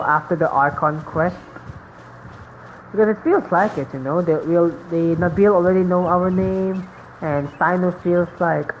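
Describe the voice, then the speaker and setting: Gaming streamer, medium pitch, rambling casual commentary, muffled lo-fi sound, game noise behind. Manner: rambling, reactive.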